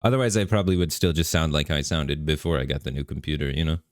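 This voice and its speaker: A man streaming a game live, talking in a relaxed, rambling way with lots of fillers, his voice bassy on a studio microphone at his home setup. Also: fast, no echo. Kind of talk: casual live-stream commentary. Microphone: studio microphone through an audio interface.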